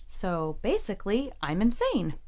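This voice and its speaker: A woman's voice: mid-range voice, wildly swooping pitch, measured pace, darkish tone. An actress playing a troubled, defensive female college student in an audio drama, insistent and emphatic. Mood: exasperated.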